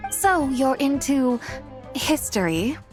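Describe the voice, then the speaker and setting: A woman's voice: high-pitched, lively intonation, slow pace, soft background music. A woman voicing a haughty, mysterious immortal deity in a video game, speaking formally with amused condescension. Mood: probing.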